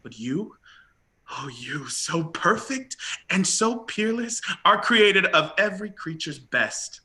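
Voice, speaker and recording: A man acts an ardent young prince in a Shakespearean romance. The voice is high for a man and very bright, with lively swings of pitch. The delivery is theatrical, courtly and effusive, full of devotion. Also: takes it slowly, heavily acted. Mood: adoring. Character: lovestruck young prince toiling as log-bearer, ardent, courtly. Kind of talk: Shakespearean stage acting.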